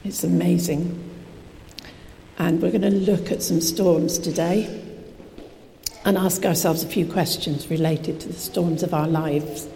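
Croaky woman preaching in a hall. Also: fairly low pitch, fairly even intonation, measured pace, long pauses, warm tone. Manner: chatty, self-deprecating asides. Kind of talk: informal sermon. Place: church hall. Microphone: PA microphone. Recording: reverberant hall with faint noise.

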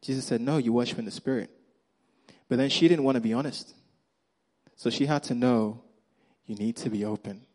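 A man with a Nigerian accent preaching a sermon, acting out the characters' lines and swinging between loud emphasis and quiet, hushed moments. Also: medium pitch, moderate pace, low-quality recording. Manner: animated storyteller, acts out Bible characters' lines.

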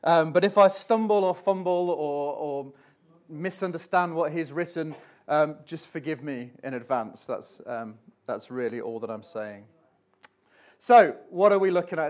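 A man filling in as preacher, giving a church sermon and reading scripture in a hesitant, self-deprecating way with many ums. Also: high-pitched. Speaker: stand-in preacher. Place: church platform before a congregation.

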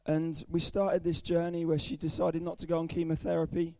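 A young man with a croaky, under-the-weather voice giving an earnest personal testimony at a church service, with frequent ums. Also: a little high, monotone, brisk, mellow, no room sound. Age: young adult. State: hoarse, croaky voice from illness. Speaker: student speaker at a church service.

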